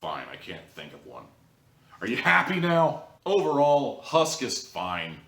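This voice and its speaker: A man's voice: mid-range voice, wildly swooping pitch, unhurried, fairly bright tone. A man giving a casual, opinionated review to camera in a wry, joking, sarcastic manner. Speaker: audio drama reviewer, video host. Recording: roomy echo, distant from mic.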